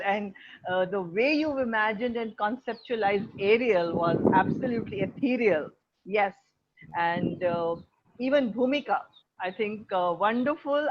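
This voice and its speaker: A woman with an Indian accent, speaking as a teacher in an encouraging, effusive way to her online class. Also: a little high. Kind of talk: casual spoken feedback to students. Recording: muffled video-call audio with faint noise.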